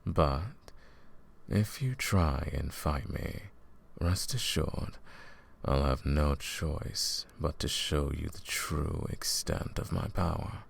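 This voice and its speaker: A man playing a smug, scheming royal advisor and sorcerer in a fantasy audio roleplay, silky and condescending, with a gravelly voice. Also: a bass voice, full character voice. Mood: menacing. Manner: silky, condescending, theatrical, drawn-out menacing phrasing. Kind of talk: fantasy audio roleplay, intimate villain monologue.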